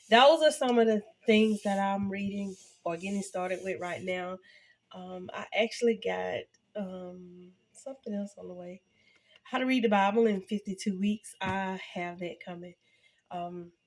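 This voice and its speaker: A woman in her fifties, sounding congested and a little nasal, chatting casually to her viewers in a halting way with many fillers and restarts. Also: medium pitch. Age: 50s. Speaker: vlogger. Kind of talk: casual reflective talk to camera.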